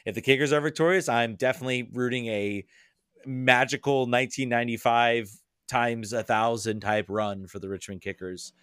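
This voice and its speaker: A man's voice: mid-range voice, fairly even intonation, normal speed, a bit bright, clear, resonant tone, dry recording, clean studio sound. A man chatting casually on a podcast as a soccer fan, rambling with many restarts and fillers.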